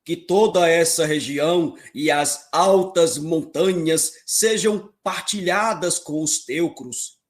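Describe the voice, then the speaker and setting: Male actor as stately conciliatory king, formal declamation, slightly high voice, very slow pace, epic audio drama. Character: stately king addressing his council, conciliatory.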